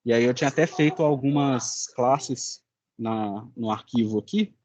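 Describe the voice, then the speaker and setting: A young man with a Brazilian Portuguese accent, giving an informal student presentation in a halting, colloquial way. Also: medium pitch, darkish tone. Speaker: student presenting a final project.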